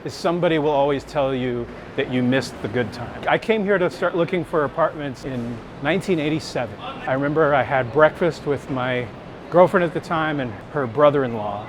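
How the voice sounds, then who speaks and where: A middle-aged American man chatting casually and reflectively as he walks outdoors, candid and self-deprecating, telling anecdotes. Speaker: street photographer and workshop teacher being interviewed. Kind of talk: casual reflective chat while walking. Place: outdoors on city streets. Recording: outdoor city rumble and traffic noise. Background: urban rumble, vehicles.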